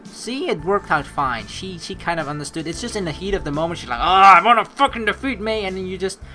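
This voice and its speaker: A let's play host with a bright, forceful voice and very animated pitch. The commentary rambles and reacts excitably, slipping into reading the story aloud. Game music sits behind the voice. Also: fast. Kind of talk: game playthrough commentary with dramatic read-aloud.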